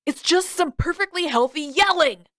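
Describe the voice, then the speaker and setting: A woman's voice: extremely high pitch, expressive, moderate pace, a bit bright, dry recording, clean studio sound, voice pushed up. A woman voicing a sarcastic, complaining teenage boy who grumbles through a story reading. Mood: sarcastic, indignant.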